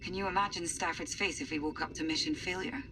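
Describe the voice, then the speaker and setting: An actress playing a wry, teasing astronaut crewmate in a cinematic sci-fi game, with a dry, conversational delivery. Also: female voice, on the low side, steady tone, fast talker, slight background noise, low-quality recording. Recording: loud music behind the voice.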